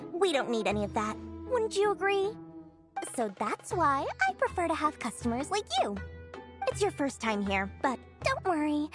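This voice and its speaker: A brash, sly merchant talks in a high voice that swoops up and down. She teases and bargains without letup. Game music sits clearly behind her. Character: sharp-tongued savvy female merchant. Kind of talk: game cutscene voice acting.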